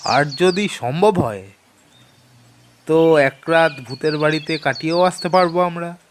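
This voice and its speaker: A man's voice: fairly high pitch, measured pace, slight background noise, close to an everyday voice. An actor playing an adventurous young man in an audio horror story, eager and persuasive, drawing out his phrases. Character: adventurous, eager young friend planning an outing.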